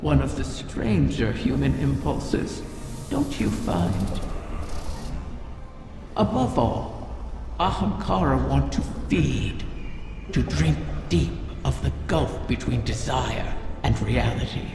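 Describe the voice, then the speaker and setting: Ancient cunning dragon: slow, gravelly, swooping delivery, brooding and insinuating, game fantasy. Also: male voice, mid-range voice, lively intonation, noisy background. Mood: sly, musing. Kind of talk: scripted video game dialogue. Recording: long echoing reverb under loud music.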